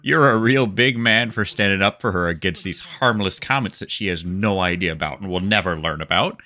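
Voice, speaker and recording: A man's voice: sits low, a little fast, sharp tone. A man playing a crude, griping, cocky young army private in a sci-fi audio drama. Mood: defiant. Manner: cocky, slangy.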